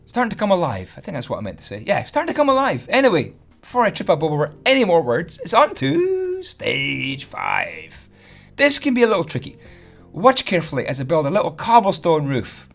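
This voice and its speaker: Hoarse man, fairly high voice, chatty and self-deprecating; casual tutorial host over faint music. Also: wildly swooping pitch, fairly quick, a bit bright. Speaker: Minecraft building tutorial host.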